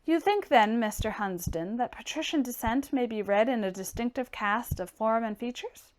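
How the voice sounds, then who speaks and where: A reserved young clerk from a classic novel, read by a woman. The voice is fairly high and soft-spoken. He talks formally and politely, with guarded restraint. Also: moderate pace, close to an everyday voice. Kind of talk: dramatized audiobook character reading.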